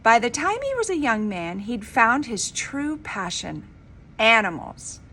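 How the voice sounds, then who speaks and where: A woman reading a children's story aloud in an expressive, dramatic, emphatic storybook manner. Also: a little high, unhurried. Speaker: reader of a children's book.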